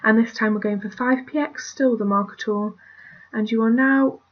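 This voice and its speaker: Chatty British woman, husky and lo-fi. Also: fairly high pitch, steady tone, moderate pace. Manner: chatty, rambling, drops to mutters and whispers. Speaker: art tutorial creator. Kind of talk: casual screen-recorded drawing tutorial. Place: at her family home, indoors. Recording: dull lo-fi home recording.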